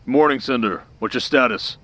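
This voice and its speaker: A man playing a brisk, exasperated handler of vampire hunters in an ASMR roleplay drama. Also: mid-range voice, fairly bright tone, a little hiss or hum, pitched above the performer's own voice, natural voice.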